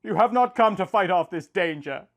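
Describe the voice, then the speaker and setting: Male reader as dying wild warrior: bitter, anguished, impassioned declamation, lifted pitch, epic storytelling. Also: lively intonation, moderate pace, creaky, highs cut off. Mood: desperate pleading.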